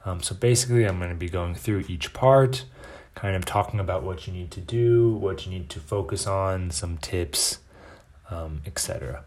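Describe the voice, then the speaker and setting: A young man with a very low, deep voice walks through exam tips in a relaxed, unscripted way. He talks like a friendly language assistant, with plenty of ums and filler words between his points. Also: normal speed. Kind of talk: casual exam-prep lesson to camera.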